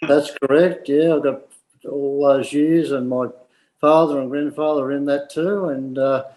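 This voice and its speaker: Aussie train driver guy, mellow voice, halting and plain. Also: on the high side, fairly even intonation, low-quality recording. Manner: hesitant, plain-spoken.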